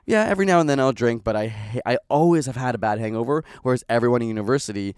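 A man's voice: medium pitch, racing, a little mellow, clean tone, dry recording, clean studio sound. A young man with a cold and a stuffy voice, co-hosting a casual chat podcast and rambling a little. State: congested with a cold. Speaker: podcast co-host.

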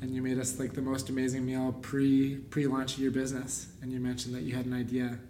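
A male podcast host in an echoey room, a little away from the mic. He chats casually with halting phrasing and little rise or fall in pitch. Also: monotone, dark tone. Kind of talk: casual chat. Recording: roomy, distant mic, background noise.

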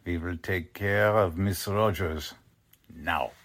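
An actor playing a cold, contemptuous Nazi spy commander in 1940s radio drama style, husky, deliberate and commanding. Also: male voice, sits low, fairly bright tone, heavily acted. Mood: menacing.

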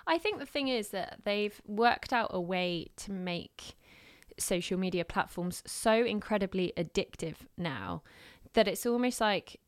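A woman's voice: medium pitch, animated, a little slow, hardly any pauses, no echo, studio quality. A woman in casual chat as a co-host, teasing and easygoing. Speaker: podcast co-host.

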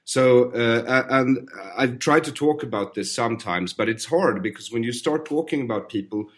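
A man's voice: mid-range voice, normal speed, fairly bright tone. A man hosting a podcast, talking thoughtfully with frequent hesitations. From Sweden. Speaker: podcast host. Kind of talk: podcast conversation.

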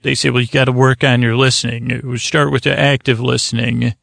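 A tired man rambles through a bedtime podcast in a gravelly, creaky voice. He wanders hesitantly from one half-finished thought to the next with little swing in pitch. The sound is close and dry. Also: little pitch movement, a little fast, a bit bright, no room sound. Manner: meandering, hesitant, trailing off mid-thought. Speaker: podcast host.

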